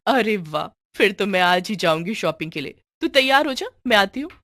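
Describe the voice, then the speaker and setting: A woman's voice: mid-range voice, animated, normal speed, a bit bright, no echo, clean studio sound. A woman voicing an overworked young daughter-in-law in a cartoon family story, sarcastic and pointed. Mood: excited. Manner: grumbling, taunting, pointed.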